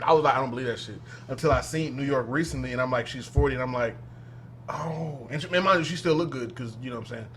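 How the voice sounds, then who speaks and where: A man's voice: medium pitch, slight background noise. A man in his twenties chatting on a podcast, telling stories with lots of laughter. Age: 20s. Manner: animated storytelling, laughs often. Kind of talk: casual podcast chat.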